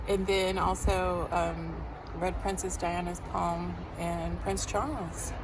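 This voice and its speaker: A woman's voice: on the low side, level tone, slow, a loud crowd, poor audio. A woman vlogging outdoors, chatting casually to camera and to the people with her. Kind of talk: casual chat to camera and companions. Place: outdoors in a public place. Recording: loud outdoor wind and street noise.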